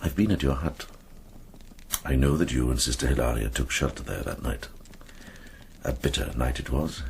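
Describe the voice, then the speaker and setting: A kindly older British monk in a radio drama, with a very deep, gravelly voice. He is gentle and reassuring, with lively rises and falls, over faint noise. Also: moderate pace, heavily acted. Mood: earnest, consoling. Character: kindly, steady medieval monk and healer, older man.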